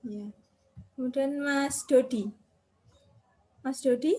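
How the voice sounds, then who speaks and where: Woman course instructor, high voice, brisk roll call on a video call. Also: mellow. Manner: brisk, calls names one by one. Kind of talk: online class roll call.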